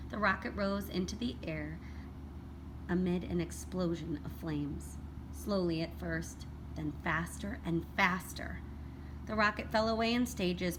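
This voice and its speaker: Teacher lady reading a kids picture book out loud, lowish voice, expressive, noisy background. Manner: expressive, deliberate storytelling. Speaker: elementary school read-aloud teacher. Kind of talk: children's picture book narration.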